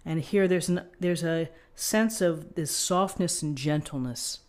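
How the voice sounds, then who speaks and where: A woman giving an unscripted tarot reading, explaining things in a gently encouraging manner. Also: low voice, unhurried. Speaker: tarot reader.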